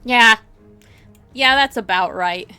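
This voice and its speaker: A woman hosting a game livestream, chatting casually and reading story narration aloud in an animated, giggly way. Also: a little high, slow, smooth, no room sound, soft background music. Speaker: livestream host playing a visual novel. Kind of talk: casual stream chat and dramatic read-aloud.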